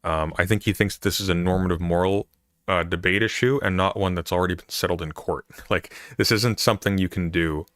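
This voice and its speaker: Man, very deep voice, exasperated reaction commentary, emphatic, repeats himself, dry sound. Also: fairly even intonation, fairly quick, fairly bright tone, no room sound. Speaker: streamer reacting to videos.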